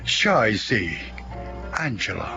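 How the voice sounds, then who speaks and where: A man narrating and announcing a radio drama in a solemn, deliberate, scripted style. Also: fairly low pitch, sing-song, lo-fi sound. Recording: music clearly underneath.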